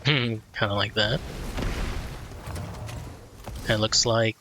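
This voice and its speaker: A man giving casual let's play commentary with low-key, short reactions. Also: steady tone, slow pace, background noise. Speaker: gaming video creator. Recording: dull lo-fi mic, faint game music.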